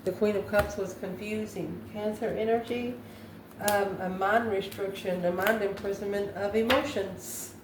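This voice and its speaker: A woman's voice: mid-range voice, steady tone, normal speed. A woman doing an improvised tarot card reading, musing aloud and answering her own questions. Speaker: tarot reader, channel host. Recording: echoey room, faint background noise.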